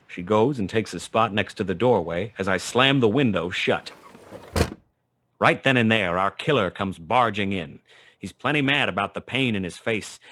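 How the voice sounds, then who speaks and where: A man's voice: on the low side, fairly bright tone. An actor playing a wry, terse private detective who narrates his own case in a noir radio play. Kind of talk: 1940s noir radio play acting, first-person narration.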